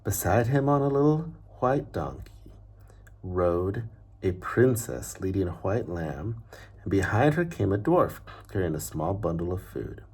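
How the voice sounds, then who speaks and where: A man with a very low, husky voice reads a children's storybook aloud. His phrasing is measured and deliberate, and the ends of his lines often drop away softly. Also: big pitch swings.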